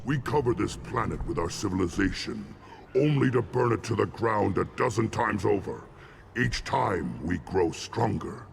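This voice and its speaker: A man voicing a solemn, zealous alien warrior shaman in a video game, gravelly and ceremonial in his grand declarations. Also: on the low side, expressive, normal speed, slight background noise. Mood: zealous, grandiose. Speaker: clan shaman.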